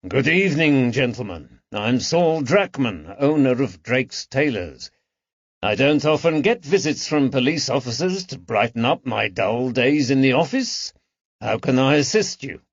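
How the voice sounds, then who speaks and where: A man's voice: mid-range voice, big pitch swings, a little slow, no echo, lo-fi sound. A man voicing a witty, urbane tailor shop owner in his thirties, teasing, in an audiobook. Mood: urbane, amused.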